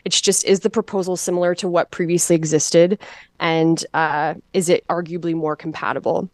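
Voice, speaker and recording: A woman's voice: fairly low pitch, steady tone, no echo. A woman giving a formal, plain-spoken staff presentation as a municipal planner at a council meeting. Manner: measured, procedural, plain-spoken.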